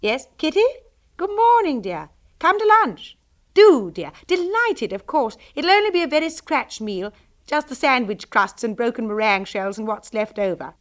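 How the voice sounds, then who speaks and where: Woman putting on a giddy young girl on the phone, high and gushy, voice leaps all over. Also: very animated, normal speed. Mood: gushing. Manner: gushing, bright and chatty.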